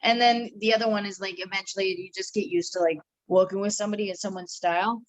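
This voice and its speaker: A woman's voice: medium pitch, fairly even intonation, fast, rough recording. A woman in a casual group discussion, talking in a tentative, meandering way. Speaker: participant in an online film class meeting.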